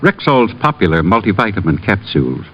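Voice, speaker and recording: Male sponsor spokesman for 1940s radio ad, lowish lively delivery, muffled broadcast quality. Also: fairly quick. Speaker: sponsor pitchman. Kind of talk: scripted 1940s-50s radio advertisement. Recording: muffled old radio recording.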